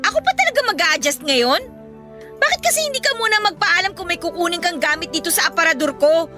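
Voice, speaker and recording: A woman's voice: extremely high pitch, lively intonation, fast talker. A Filipino woman acting an irritable, aggrieved elder sister in her twenties in a radio drama, scolding and exasperated. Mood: irritated, scolding. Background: music.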